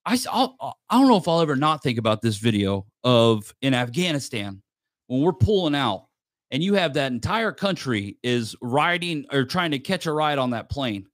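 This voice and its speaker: A man's voice: medium pitch, big pitch swings, normal speed, no room sound. Casual, sweary man in a dry studio. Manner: informal, curious, swears freely. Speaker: podcast guest, sports podcaster. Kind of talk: casual podcast conversation.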